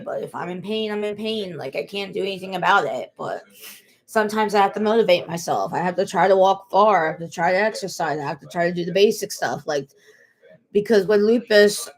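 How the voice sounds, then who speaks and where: A woman in her late twenties talks casually to the camera in a personal vlog. She rambles and circles back, repeats the same points and restarts her sentences. Her pitch sits in the middle range. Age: 20s. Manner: rambling, repeats herself, many fillers and restarts. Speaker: vlogger.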